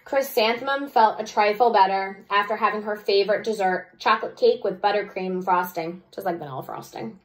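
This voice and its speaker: A woman teacher reads a children's story aloud in a mid-range voice. Her reading is expressive, and she slips into casual, chatty asides to explain things to young listeners. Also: mid-range voice, fairly quick, few pauses, low-quality recording. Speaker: second-grade teacher.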